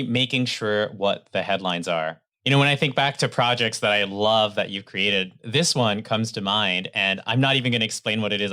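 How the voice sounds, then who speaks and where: A man's voice: fairly low pitch, pushed and bright. A man hosting an interview, upbeat and enthusiastic, summing up his guest's points. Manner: upbeat, enthusiastic, sums things up. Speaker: interview host.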